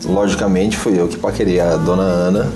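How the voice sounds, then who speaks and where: A man's voice: low voice, fairly even intonation, fairly quick. A Brazilian man in his thirties, a guest on a TV chat show, telling stories in a playful, teasing way. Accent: Brazilian Portuguese. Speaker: interview guest. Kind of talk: joking casual chat. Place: small TV studio set with table. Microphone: lapel. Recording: roomy studio with music.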